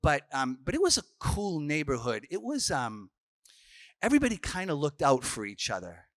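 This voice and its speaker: A man's voice: fairly high pitch, wildly swooping pitch, moderate pace, dry recording, clean studio sound. A man, a Franciscan priest telling stories in a casual youth workshop talk, full of restarts and fillers. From Boston, Massachusetts. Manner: chatty storyteller, frequent restarts and fillers.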